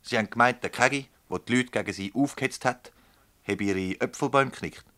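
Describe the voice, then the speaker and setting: Actor as stern, bright-voiced Swiss German prosecutor. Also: male voice, mid-range voice, brisk, natural voice. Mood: measured, insistent. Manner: formal, commanding, probing. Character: stern, methodical male public prosecutor questioning villagers. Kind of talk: radio drama acting in dialect.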